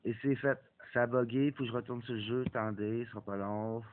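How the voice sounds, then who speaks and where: A man's voice: medium pitch, fairly even intonation, fairly quick. A young Québécois man with a stuffy cold chats his way through a phone racing game. He swears when things go wrong and then says sorry to his subscribers. His voice comes through a narrow, phone-like mic with a faint hiss behind it. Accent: Québécois French. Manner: swears often and apologizes for it. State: has a cold. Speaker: gaming YouTuber. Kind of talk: casual gameplay commentary.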